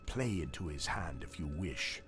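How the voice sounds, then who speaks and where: Game villain: grave vampire master, very low, disdainful, theatrical, loud music behind. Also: male voice, expressive, moderate pace. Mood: scornful warning. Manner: pompous, archaic, disdainful speech. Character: haughty male vampire sorcerer, grave and contemptuous master.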